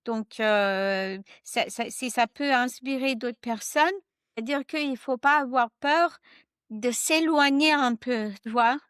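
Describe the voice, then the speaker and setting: A woman guest author talking in a casual interview, animated and hesitant, laughing often and slipping into English. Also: fairly high pitch, slow, clear, resonant tone, no echo, studio quality.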